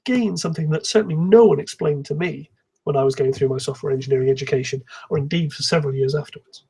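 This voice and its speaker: A man giving an explanatory technical conference talk in a calm, measured manner, with a slightly husky voice. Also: on the high side, a little hiss or hum, low-quality recording. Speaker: conference speaker, software engineer.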